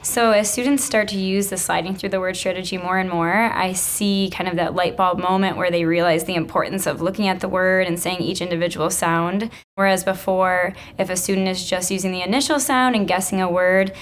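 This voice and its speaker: A woman who teaches elementary school, explaining reading strategies and reading aloud in an instructional way. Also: lowish voice.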